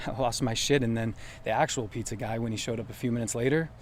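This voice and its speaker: A young American man played by an actor, mid-pitched and flustered, talks in a halting, candid way as a small-town local in an audio drama. Faint noise sits behind him. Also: steady tone, close to an everyday voice. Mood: rattled. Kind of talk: naturalistic audio drama dialogue.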